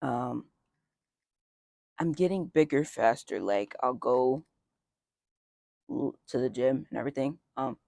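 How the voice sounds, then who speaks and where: A young man chatting casually outdoors in a light breeze as a vlogger, with lots of fillers and wry asides. His voice is just starting to deepen early on testosterone. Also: slow pace, gravelly, pauses often, a little mellow, no echo, studio quality. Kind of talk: casual life update chat.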